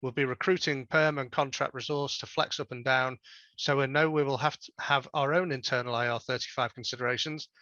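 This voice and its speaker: A man's voice: medium pitch, steady tone, fairly quick, sharp tone, no room sound, dull audio. A man hosting a webinar, politely reading out audience questions and thanking the speaker. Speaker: webinar host.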